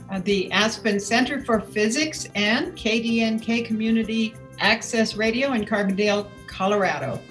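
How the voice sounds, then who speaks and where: A woman hosting a community radio show, introducing her guests in a measured way. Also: fairly high pitch, fairly bright tone. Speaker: radio host.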